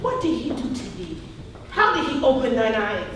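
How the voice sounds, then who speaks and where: A woman's voice: fairly high pitch, very animated, moderate pace, far from the mic. A woman playing a scornful, accusing temple Pharisee in a live church Bible drama, declaiming in archaic scripture phrases. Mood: scornful questioning. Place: church hall, live performance. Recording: roomy echoing hall from afar, noisy.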